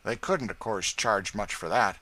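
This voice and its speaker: A man reading an audiobook and voicing a sly, nervous lawyer who talks in a smooth, conciliatory way. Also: fairly low pitch, a little slow, sharp tone. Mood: wheedling. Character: sly, wheedling lawyer and slave catcher, nervous. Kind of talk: audiobook character voice.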